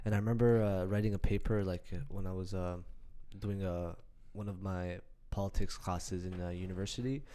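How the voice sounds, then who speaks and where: Man, low voice, podcast host, casual chat, relaxed, frequent "uh" fillers. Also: fairly quick.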